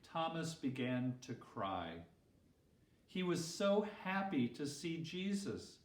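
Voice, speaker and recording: A man, a pastor, reading a children's story expressively with deliberate phrasing. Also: a little high, very animated. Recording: roomy echo, distant microphone.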